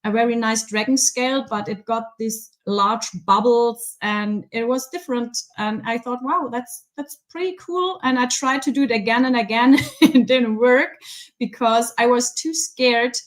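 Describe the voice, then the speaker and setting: A woman's voice: on the high side, unhurried. German-accented woman, hesitant casual chat. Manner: hesitant, many ums, laughs easily. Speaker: guest resin artist.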